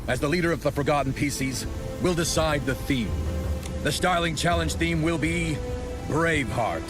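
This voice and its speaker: A man acting a stern, gruff leader of a guard corps in a video game cutscene, formal and skeptical in manner. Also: a little high, moderate pace, natural voice. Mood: commanding, skeptical. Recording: music behind the voice.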